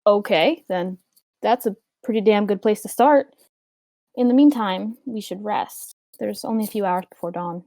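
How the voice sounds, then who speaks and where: A practical young mage played by a woman in a table read. She is guarded and matter-of-fact, with a medium pitch and dry, close sound. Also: moderate pace, dark tone, no room sound, natural voice. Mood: decisive. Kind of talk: amateur script table read.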